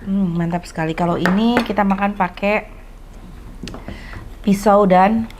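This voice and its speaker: A young woman chats through a food tasting at an easy, unhurried pace, savoring and describing each bite. Dishes and pans clatter clearly behind her in the restaurant. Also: mid-range voice, steady tone, background noise. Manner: chatty, savoring and commenting while tasting. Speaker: food vlogger host. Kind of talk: casual food review chat.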